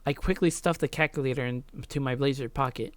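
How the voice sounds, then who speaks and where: A woman's voice: rumbling low, brisk, dry recording. A woman hosting a let's play, reading visual novel narration and adding casual gaming commentary, close on the mic.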